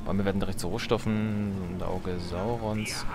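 A man's voice: deep voice, fairly even intonation, moderate pace. A man giving casual, joking gaming commentary in a colloquial way. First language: German. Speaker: let's player. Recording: game music behind the voice. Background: strategy game music and effects.

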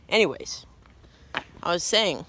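A man's voice: high-pitched, fairly bright tone. Goofy teen boy rambling outdoors. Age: teens. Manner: joking, rambling, playful. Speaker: vlogger. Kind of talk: goofy walking vlog. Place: outdoors on a quiet street. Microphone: phone at arm's length.

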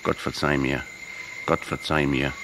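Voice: rumbling low, steady tone, measured pace, background noise. A performer in a radio play playing an old Bolivian peasant woman, pious, hostile and stubbornly accusing. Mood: bitter, pious.

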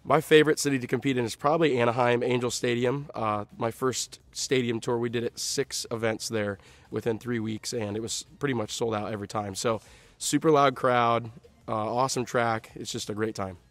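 A young man, a monster truck driver, speaking relaxed in an interview. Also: mid-range voice, a little fast, no echo. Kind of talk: interview answer.

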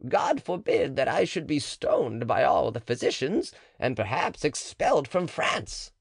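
A man reading the part of a cautious, scheming older female midwife, pitching his voice up and sounding protesting. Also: very animated, unhurried, vocal fry, breathy edge, no room sound, studio quality, full character voice. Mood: wary. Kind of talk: audiobook character reading.

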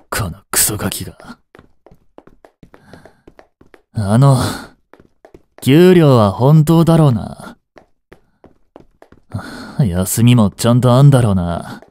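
Guy doing a cocky street punk butler for an audio drama, talks rough n blunt, slow and kinda mellow, swings around a lot. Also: medium pitch, no echo. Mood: gruff, irritated. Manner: blunt, rough casual speech, teasing. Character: rough young street delinquent turned butler, cocky, grudgingly tender. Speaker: voice actor. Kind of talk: intimate Japanese audio drama acting addressed to the listener.

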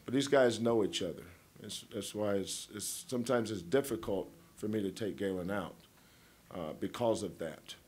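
A man's voice: fairly low pitch, moderate pace, darkish tone, slightly rough. A man, a head basketball coach, answering questions at a postgame press conference in a folksy, rambling way, full of homespun analogies and teasing.

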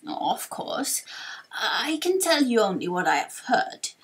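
A woman voicing a forgetful old male tortoise elder in a video game, halting and murmuring as he talks. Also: on the high side, big pitch swings, fairly bright tone. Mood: sage, musing. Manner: slow, halting, mumbling.

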